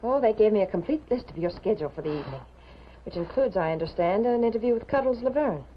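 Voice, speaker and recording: An actress playing a sharp-tongued, worried newspaper colleague, prim and insistent, in a 1950s television drama. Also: female voice, medium pitch, lively intonation, fast, lightly acted. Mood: uneasy. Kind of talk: 1950s American TV drama acting. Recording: muffled, noisy 1950s television soundtrack.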